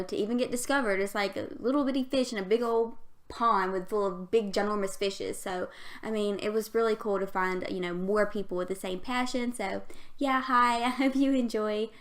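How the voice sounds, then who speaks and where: A woman in her twenties with a Southern US accent and a youthful, girlish voice, chatting casually and rambling over a game build, often giggling and stumbling over her words. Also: medium pitch. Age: 20s. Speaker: YouTube gaming creator.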